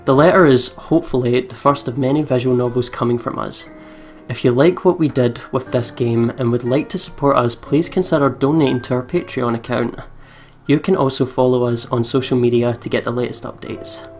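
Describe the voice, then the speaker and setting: A woman's voice: rumbling low, steady tone, brisk, a little mellow, soft background music. A young woman hosting a let's play, chatting casually and expressively as she comments on the game, with plenty of swearing.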